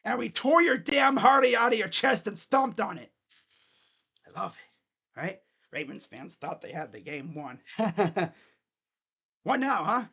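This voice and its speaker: A man recapping a game for his fan channel, his voice swinging up and down with lots of energy. His gravelly tone is wearing hoarse. He trash-talks the rival team and laughs along the way. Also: high voice, unhurried, pauses often. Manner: animated, trash-talking, laughs often. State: voice getting hoarse. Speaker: sports fan channel host.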